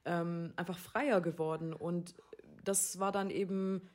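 Calm woman explaining, lowish voice. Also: a little slow. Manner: calm, informative, explaining background. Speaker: podcast host. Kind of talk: explanatory podcast talk.

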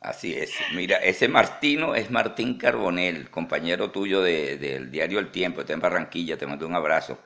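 A man with a Venezuelan accent hosting a live interview in an informal, chatty way, often halting and repeating words. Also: low-pitched, expressive, creaky, sharp tone. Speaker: interview host.

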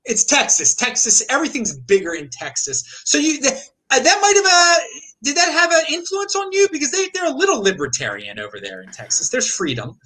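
A man's voice: very high voice, sing-song, fairly quick, pushed and bright. A man hosting an interview, asking curious questions with hesitant fillers. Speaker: interviewer, host. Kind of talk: interview conversation.

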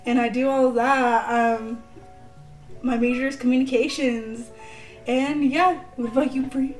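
A woman's voice: high voice, steady tone, unhurried, darkish tone, recorded from a distance, faint music behind, poor audio. A woman in her twenties hosting a casual podcast, chatty and giggly, full of "like" fillers. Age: 20s. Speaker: podcast host. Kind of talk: casual chat.

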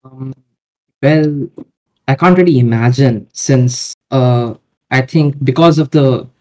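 A man's voice: mid-range voice, takes it slowly, dark tone, low-quality recording. A man speaking as a podcast guest, giving hesitant, hedging answers in casual talk.

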